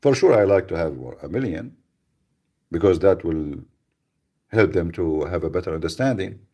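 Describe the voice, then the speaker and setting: A man hosts live radio talk in a blunt, emphatic way, often repeating himself and restarting sentences. His voice sits very low and has a gravelly, husky edge. The tone is dark and mellow. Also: animated, normal speed. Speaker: radio show host.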